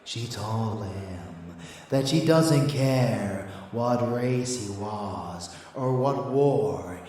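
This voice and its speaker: A man's voice: lowish voice, expressive, extremely slow, warm tone, very echoey, distant mic. A man playing a rebellious vampire lover in an intimate ASMR audio drama, teasing, boastful and doting, with menace underneath. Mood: musing.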